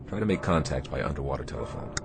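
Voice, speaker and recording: An actor playing a terse, authoritative navy destroyer captain in a radio drama. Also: male voice, extremely low pitch, lively intonation, very fast, vocal fry, background noise, full character voice. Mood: commanding. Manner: authoritative, clipped.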